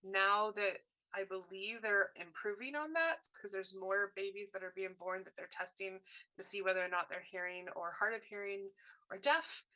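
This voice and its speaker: Bright-voiced woman voicing a signed talk. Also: medium pitch, steady tone, moderate pace, low-quality recording. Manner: short broken phrases with frequent self-corrections. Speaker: sign language voice interpreter. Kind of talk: interpreted workshop presentation.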